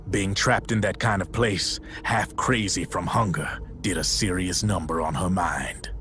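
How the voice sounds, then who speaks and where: A gruff middle-aged soldier who tells a dark story in a very low, steady voice. Faint music sits under his words. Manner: low, gravelly storytelling, matter-of-fact. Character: gruff middle-aged male soldier recounting a grim tale. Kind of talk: video game cutscene acting.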